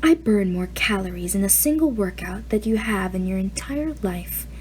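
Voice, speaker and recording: A woman's voice: mid-range voice, expressive, normal speed, darkish tone, slight background noise, natural voice. A woman voicing a tough woman spy with a dry, scoffing manner.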